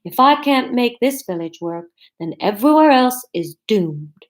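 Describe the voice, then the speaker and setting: A woman's voice: on the high side, expressive, normal speed, lightly acted. Woman acting as all-powerful male god, thoughtful, earnest, slightly dopey, invented North American-style accent, storybook dialogue. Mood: earnest, grave. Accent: made-up, vaguely Canadian. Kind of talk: audiobook-style character dialogue.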